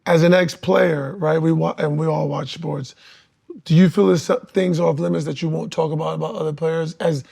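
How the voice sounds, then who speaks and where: A man's voice: a little high, fairly even intonation, normal speed, vocal fry, a little mellow, breathy edge. A man hosting a talk show, casually putting questions to his guests. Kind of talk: casual interviewing.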